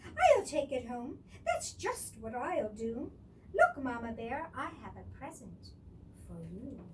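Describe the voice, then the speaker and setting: A cheerful storybook papa bear, played by a woman, talks eagerly and heartily in a high voice. The delivery is animated and recorded at some distance. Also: slow pace, full character voice. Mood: pleased. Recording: distant microphone with clear background noise.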